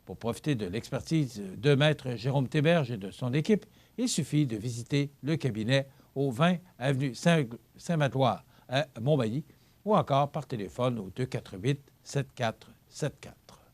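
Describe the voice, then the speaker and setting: Québécois male news anchor, mid-pitched voice, steady measured read, small slips. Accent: Québécois French. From Quebec.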